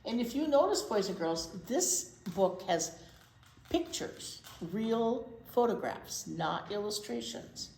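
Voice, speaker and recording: A woman's voice: a little high, lively intonation, slow pace, darkish tone. A woman reading a picture book aloud to young children, with simple explanations and chatty asides. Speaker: children's storytime host, librarian. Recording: echoey room with faint background noise.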